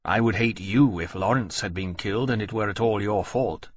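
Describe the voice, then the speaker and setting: A man's voice: lowish voice, normal speed, slightly rough. Man playing a young, blunt, defiant dragon. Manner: earnest, blunt.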